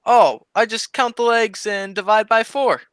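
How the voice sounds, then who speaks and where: A woman's voice: fairly high pitch, unhurried, background noise, natural voice. A woman playing a dim but cheeky male sidekick in an old-time radio comedy, delivering wisecracks with childlike innocence. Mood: smart-alecky.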